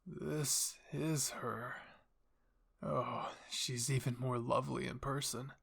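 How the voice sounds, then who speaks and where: A man voicing a slightly old, grandfatherly but quietly sinister father in an audio story, speaking slowly and deliberately. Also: medium pitch, steady tone, vocal fry, husky, heavily acted. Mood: admiring. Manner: slow, savoring, deliberate delivery.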